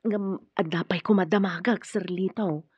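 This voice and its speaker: An actress playing a timid but nosy housemaid in a radio drama, hesitant and respectful. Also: female voice, on the low side, fairly even intonation, normal speed, breathy edge, dry recording. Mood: hesitant, curious. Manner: hesitant, deferential. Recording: dull, dry lo-fi audio.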